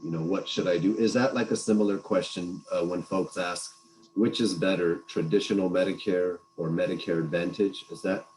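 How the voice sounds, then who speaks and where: A man moderating a webinar, quickly reading audience questions aloud over a video call. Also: low voice, fairly even intonation, a little mellow, slight background noise, low-quality recording.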